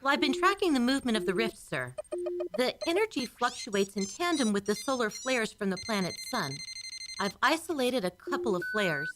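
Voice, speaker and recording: Female starship science officer, played by a woman: earnest, animated, technical, sci-fi radio play with clearly noisy backdrop. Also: on the high side, moderate pace.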